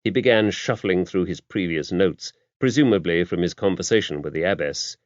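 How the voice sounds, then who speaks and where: A man's voice: low voice, normal speed, dry recording. A man reading a mystery novel aloud as a scripted audiobook narration. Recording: dry, dull lo-fi audio.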